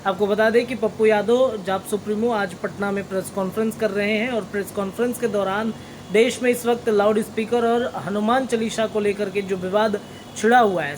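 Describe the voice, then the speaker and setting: A woman's voice: mid-range voice, a little mellow, slight background noise, rough recording. A woman reporting the news, introducing a story in long, run-on explanatory sentences.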